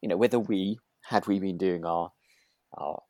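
A man's voice: deep voice, normal speed, dark tone, no room sound, studio quality. A British man co-hosting a podcast, chatting casually and ramblingly, often rephrasing as he goes. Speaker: podcast co-host. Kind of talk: casual chat about a radio drama.

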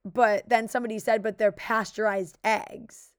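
Young woman, raspy voice, chatty podcast co-host, dry close sound. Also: fairly high pitch, fairly even intonation, normal speed, no room sound. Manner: chatty, many "like" fillers. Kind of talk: casual chat.

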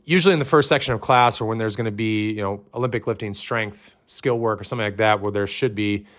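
Male fitness coach, 30s, fairly low and loud voice, casual podcast advice full of fillers. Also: fast. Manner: conversational, many fillers, says his voice is loud. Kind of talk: instructional podcast talk.

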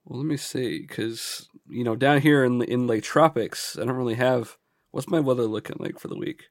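A man's voice: mid-range voice, normal speed, no room sound. Congested man chatting casually, dry close sound. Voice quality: congested from a cold. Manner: slangy, swearing, says "bro" and "dude". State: getting over a cold. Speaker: podcast co-host. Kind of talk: casual chat.

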